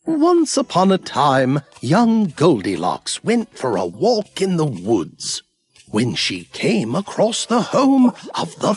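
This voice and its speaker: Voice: mid-range voice, a little mellow, breathy edge, heavily acted. An actor playing a pompous yet patient storybook narrator in a children's cartoon, formal and dramatic in delivery. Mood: grand, formal.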